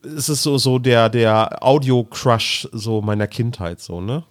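A man's voice: mid-range voice, a little slow, dry recording, clean studio sound. A man co-hosting a podcast in casual chat, often searching for words and repeating himself. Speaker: podcast co-host. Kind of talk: casual chat about nostalgic audio dramas.

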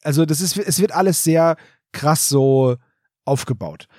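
A man's voice: fairly high pitch, unhurried, warm tone, dry recording, clean studio sound. A man co-hosting a casual podcast chat, retelling a book's plot in loose running summaries. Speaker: podcast co-host summarizing the book.

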